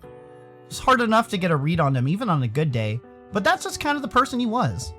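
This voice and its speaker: Animated American man narrating a game. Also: high voice, fairly quick, soft background music. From Arizona, United States. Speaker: streamer reading a visual novel aloud. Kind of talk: animated story narration with casual asides.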